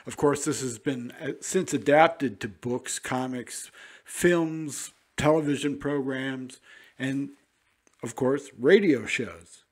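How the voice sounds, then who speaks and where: A man hosting a classic radio show in a relaxed, informal way. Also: medium pitch, takes it slowly. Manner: relaxed and informal, with small fillers. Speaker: podcast host.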